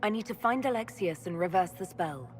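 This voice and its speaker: An actress playing an earnest, determined and caring heroine in a video game, speaking directly. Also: female voice, lowish voice, lively intonation, a little fast, in a large hall, soft background music, natural voice. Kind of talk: video game cutscene acting.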